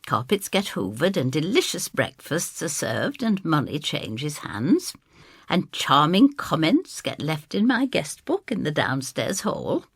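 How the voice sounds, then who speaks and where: Low, gravelly, confiding storybook landlady, acted by a woman. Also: very animated, measured pace, dry recording, studio quality, full character voice. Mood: cosy, confiding. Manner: confiding, wry, homely. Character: Brenda, cosy, wry, motherly landlady narrator. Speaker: first-person narrator. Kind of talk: dramatised scripted storytelling.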